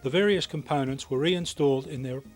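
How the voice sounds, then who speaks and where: A man narrates a technical training film from a scripted text. His pitch is average and his delivery is precise and matter-of-fact. Music plays clearly behind him on a VHS tape transfer. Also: brisk, darkish tone.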